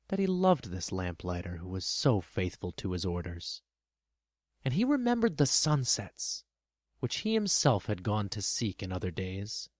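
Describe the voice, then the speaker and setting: A man reading scripted storybook narration in an expressive storytelling manner. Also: medium pitch, no echo, clean studio sound.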